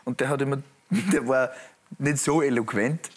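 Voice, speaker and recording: A man's voice: medium pitch, moderate pace, husky. A man who played as a striker, chatting on a studio football show and telling anecdotes with frequent laughter. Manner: chatty storyteller, laughs often. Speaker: pundit, former striker. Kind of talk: casual studio chat.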